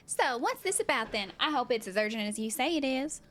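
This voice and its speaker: A woman's voice: high voice, lively intonation, fairly quick, fairly bright tone, a little hiss or hum. A woman voicing a glamorous, vain female celebrity fighter from a video game in a theatrical, drawling, genteel way. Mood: breezy.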